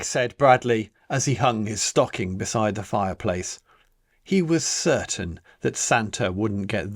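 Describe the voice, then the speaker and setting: A man narrates a children's picture book with lively swings of pitch. He takes his time, pausing for emphasis and drama, in a close, dry recording. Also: gravelly, dry recording. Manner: dramatic, deliberate storytelling with emphatic pauses. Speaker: author reading his own picture book. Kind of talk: expressive children's story narration.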